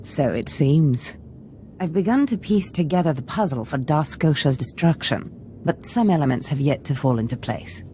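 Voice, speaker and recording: A woman's voice: low voice, animated, normal speed, dark tone, a little hiss or hum. A woman playing a haughty, scheming Sith master in a video game, theatrical and calculating. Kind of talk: video game dramatic acting.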